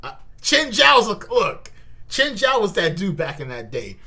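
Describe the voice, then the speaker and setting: This is an animated host doing a live manga reaction. The delivery is casual and slangy, full of repeated words and exclamations, with a very lively pitch range and a bright, punchy tone. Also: unhurried.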